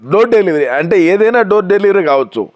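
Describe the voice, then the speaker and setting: Man, high voice, satirical political commentary, faint background noise. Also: animated, fast talker, darkish tone.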